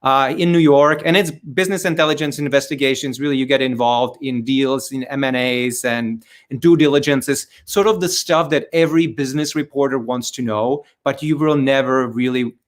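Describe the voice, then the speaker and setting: A man's voice: medium pitch, fairly even intonation, moderate pace, hardly any pauses. Male interview guest, Czech accent, middle register, conversational delivery with frequent rewording. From Czech Republic. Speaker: interview guest, corporate storyteller and former journalist. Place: remote video webinar.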